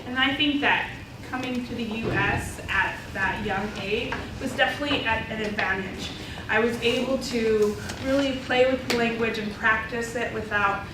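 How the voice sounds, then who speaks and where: A woman's voice: a little high, moderate pace. Woman speaker in a distant, echoey hall. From Idaho, USA. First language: Spanish. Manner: conversational, many ums. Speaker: panelist, ESL instructor. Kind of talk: personal talk to an audience. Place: college symposium hall. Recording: echoey hall, mic far off, noisy.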